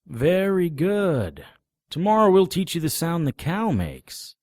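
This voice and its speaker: An actor playing a wisecracking, world-weary occult investigator, narrating and trading lines in a noir-style audio drama. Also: male voice, on the high side, big pitch swings, slow pace, a little mellow, no echo, studio quality. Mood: mocking. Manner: sardonic, flippant.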